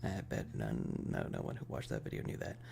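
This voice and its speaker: A man chatting casually and softly on an ASMR livestream, rambling with hesitant pauses and often slipping into breathy, whispery speech. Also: very deep voice, big pitch swings, slight background noise. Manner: self-deprecating, nerdy. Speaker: ASMR streamer.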